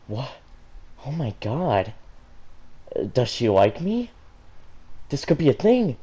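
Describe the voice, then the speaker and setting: A man voicing a lovestruck, excitable teenage girl in an amateur storybook reading, with eager, casual delivery. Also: medium pitch, takes it slowly, breathy edge, voice pushed up, heavily acted. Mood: giddy, nervous.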